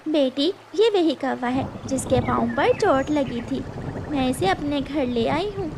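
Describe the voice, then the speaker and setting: A devoted mother bird from a cartoon, played by a woman, tender and emotive. The voice is high and unhurried, with lively swings, over a very noisy track. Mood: gentle concern. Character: caring, trusting mother bird, anxious for her daughter. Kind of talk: Hindi cartoon character dialogue.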